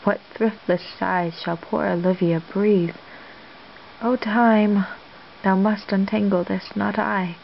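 A woman playing a troubled young woman disguised as a page in a soft, hushed, wistful Shakespearean monologue. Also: mid-range voice, fairly even intonation, slow, creaky. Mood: rueful. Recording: dull lo-fi home recording, faint hiss.

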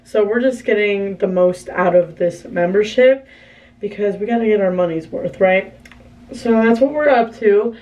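A woman's voice: mid-range voice, normal speed, darkish tone, recorded from a distance, muffled recording. A tired young woman, a family vlogger, chatting casually and rambling to the camera from a car, her voice worn and fading. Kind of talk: casual vlog chat to camera.

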